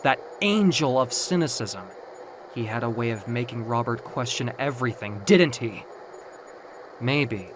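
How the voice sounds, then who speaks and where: A man narrating scripted dark fiction in a dramatic storytelling style. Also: medium pitch, background noise.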